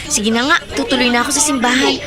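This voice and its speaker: A woman's voice: high-pitched, fairly even intonation, fast talker, a loud crowd, close to an everyday voice. An actress playing a hopeful young woman with a prosthetic leg, musing aloud in a radio drama. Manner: inner-thought narration, soft and musing.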